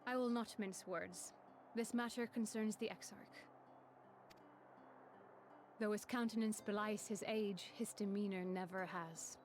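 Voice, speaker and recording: An actress playing a composed, formal woman in a game cutscene, measured in speech and quietly caring. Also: mid-range voice, steady tone, slight background noise, natural voice. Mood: reserved, earnest. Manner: measured, formal, archaic wording. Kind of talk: dramatic video game cutscene acting. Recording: music behind the voice.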